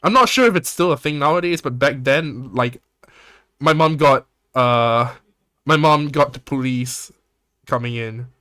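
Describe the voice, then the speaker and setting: A young man from Singapore hosting a podcast, chatting casually in a rambling, animated way and swearing often. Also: medium pitch, a little slow, no room sound, studio quality. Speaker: podcast host. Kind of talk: casual chat.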